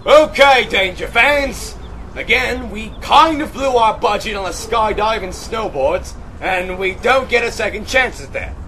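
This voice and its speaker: A man's voice: high-pitched, pushed and bright, a little hiss or hum, full character voice. A man playing a brash, hotheaded, slangy extreme sports show host in a comedic audio play. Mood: hyped up.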